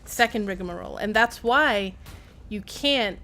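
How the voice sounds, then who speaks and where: A woman's voice: medium pitch, measured pace, pushed and bright. A woman giving casual live game commentary, rambling and playfully self-deprecating as she chats to viewers and game characters. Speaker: game livestreamer.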